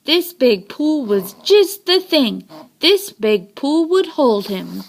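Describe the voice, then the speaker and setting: A woman reads a children's story aloud with high pitch and a slow, unhurried pace. Her delivery is expressive and dramatic, with intonation that swings widely. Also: creaky. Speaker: storybook reader.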